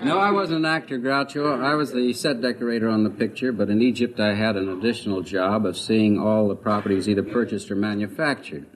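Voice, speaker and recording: A man's voice: on the low side, a little fast, hardly any pauses, slight background noise, rough recording. A man in his fifties answering a quiz host's questions hesitantly and politely, with many fillers, on an old 1950s radio broadcast. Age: 50s. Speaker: quiz show contestant.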